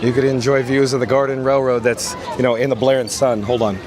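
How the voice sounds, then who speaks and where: A hoarse man hosting a live walking vlog outdoors, coughing now and then and reading viewer comments aloud. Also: medium pitch, level tone, brisk. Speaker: vlogger host. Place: outdoors at a busy theme park. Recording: loud crowd and outdoor noise. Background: crowd chatter.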